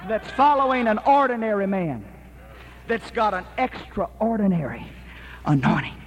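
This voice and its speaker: A man preaching a sermon in an emphatic, rhythmic style that rises to shouted peaks. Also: high voice, expressive, measured pace.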